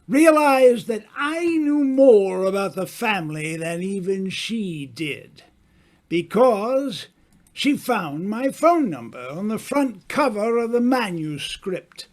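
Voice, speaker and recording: A man playing a worldly, self-assured older writer in an old-time radio mystery, speaking grandly with teasing, dramatic pauses. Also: sits high. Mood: amused, self-satisfied.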